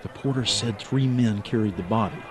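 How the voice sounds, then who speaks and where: An actor playing a suspicious, persistent investigator and narrator in a radio drama, probing and matter-of-fact. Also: male voice, fairly low pitch, moderate pace, music in the background, close to an everyday voice. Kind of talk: old-time radio drama acting.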